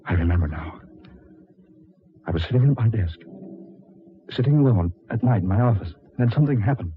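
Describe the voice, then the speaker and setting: An actor playing a dazed, grave husband returned from the dead, in halting, melodramatic 1940s radio style. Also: male voice, fairly low pitch, expressive, close to an everyday voice. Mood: grave dread. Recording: scratchy, tinny 1940s radio recording.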